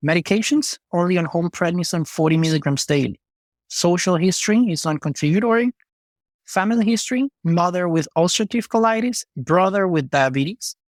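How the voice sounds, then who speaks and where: A man's voice: high voice, fairly quick, no room sound. A man in his thirties from Venezuela, presenting a medical case methodically as a guest on a podcast. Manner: methodical, listing clinical details. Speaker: cardiology fellow, guest.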